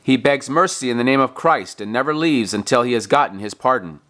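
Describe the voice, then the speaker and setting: A man reading a religious text aloud like a preacher, in deliberate, emphatic, declamatory phrasing. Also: mid-range voice, animated, bright, forceful tone. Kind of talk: scripted devotional reading, sermon-like.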